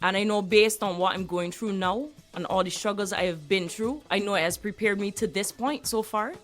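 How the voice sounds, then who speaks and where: A woman's voice: medium pitch, brisk. A young Barbadian woman chatting as a guest on a radio show. She is reflective, and her laughter breaks through easily, with faint music under her voice. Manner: animated, laughs often, reflective. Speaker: reggae singer, guest. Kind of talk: casual radio interview.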